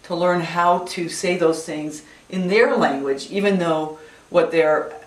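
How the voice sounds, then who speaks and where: Woman host, kinda low voice, encouraging, lots of wow, roomy echo. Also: unhurried, recorded from a distance. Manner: encouraging, enthusiastic reactions. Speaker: interview host. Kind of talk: interview, casual. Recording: echoey room, voice a bit off-mic.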